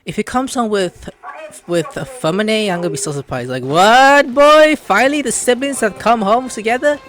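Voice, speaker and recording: A woman's voice: a little high, expressive, normal speed, fairly bright tone. A woman giving casual, playful, slangy reactions as she comments over a video game. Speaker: gameplay commentator. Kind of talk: casual let's play commentary.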